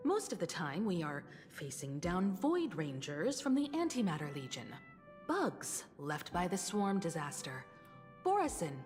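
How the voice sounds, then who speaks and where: An actress playing a calm, resolute grown daughter in a video game, composed and earnest. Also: female voice, mid-range voice. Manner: composed, earnest, sometimes whispering.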